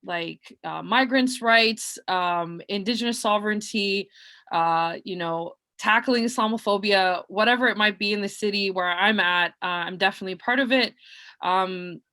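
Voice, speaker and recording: A young woman from Nova Scotia with a loud voice introduces herself as a guest speaker on a video call from her bedroom, talking earnestly with frequent ums and uhs. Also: mid-range voice, moderate pace. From Nova Scotia, Canada. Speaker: community organizer and guest speaker. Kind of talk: personal self-introduction at an online panel.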